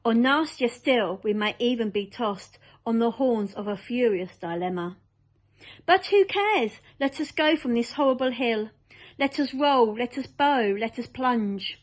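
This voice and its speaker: A woman voicing a boisterous, reckless male centipede in a storybook, declaiming with excitable glee. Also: a little high, measured pace. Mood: gleeful, declamatory.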